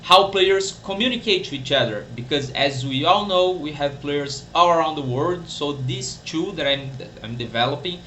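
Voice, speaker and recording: A man's voice: a little high, normal speed, hardly any pauses, sharp tone, a little hiss or hum, low-quality recording. A man, a game developer presenting a screen-recorded demo, who often restarts sentences while searching for words. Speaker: game developer and server owner.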